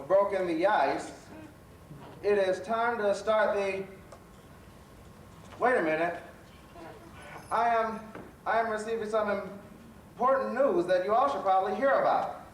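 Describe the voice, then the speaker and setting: High-voiced man as a jovial storytelling professor. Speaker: host. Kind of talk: children's stage show storytelling. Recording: roomy, distant stage recording with faint noise.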